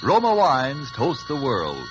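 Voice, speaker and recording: A man's voice: medium pitch, wildly swooping pitch, slow. A man announcing a sponsor in a declamatory 1940s radio advertisement style. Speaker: commercial announcer. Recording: muffled 1940s radio with music behind.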